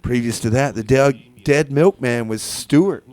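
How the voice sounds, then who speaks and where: A man's voice: medium pitch, unhurried, a little mellow. A man hosting a music radio show with casual, hesitant, tongue-in-cheek patter, often repeating phrases for effect. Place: radio studio.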